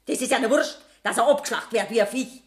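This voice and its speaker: Radio play casting: a woman as a defiant, bitter Bavarian convict's mother, earthy and blunt, voice on the high side. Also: fast talker, natural voice. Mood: indignant, accusing. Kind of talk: 1970s German radio play acting.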